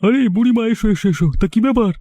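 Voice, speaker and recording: An actor playing a smooth-talking village goldsmith, ingratiating and probing, in an audio story. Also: male voice, sits high, fast, dark tone, no echo.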